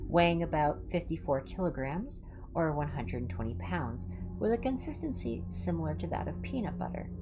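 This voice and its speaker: Female ASMR story reader, low register with lively intonation, measured clinical delivery for a horror livestream. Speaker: livestream host reading horror stories. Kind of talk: ASMR reading of scripted entries. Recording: music behind the voice. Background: background music.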